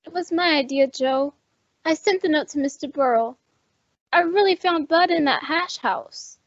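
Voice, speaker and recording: Girl doing earnest young lady in old radio suspense play, really high voice, insistent, kinda muffled lofi. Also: moderate pace, dry recording. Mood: earnest explaining. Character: eager, earnest young woman confiding a scheme.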